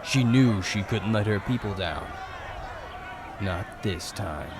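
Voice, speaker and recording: A man's voice: lowish voice, expressive, slow, crowd noise, noisy background. A man narrating a scripted fantasy story in a dramatic storytelling style.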